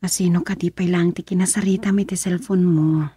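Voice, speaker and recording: An actress with a Filipino accent playing a concerned, inquisitive wife at home in a radio drama. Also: female voice, lowish voice, fairly even intonation, fairly quick, warm tone, slight background noise, lightly acted. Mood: sleepy, curious. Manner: questioning.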